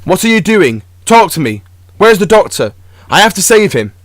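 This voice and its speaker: A man's voice: sits high, big pitch swings, normal speed, no echo. A man playing an earnest, loyal, emotional companion in an audio drama, speaking plainly. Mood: anxious. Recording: clearly noisy, traffic-like background.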